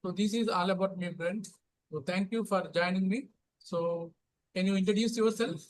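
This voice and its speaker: A middle-aged man from India with an Indian accent, hosting a casual English practice chat and speaking in a halting way that starts most lines with "so". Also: high voice, fairly even intonation, rough recording. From Telangana, India. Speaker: host.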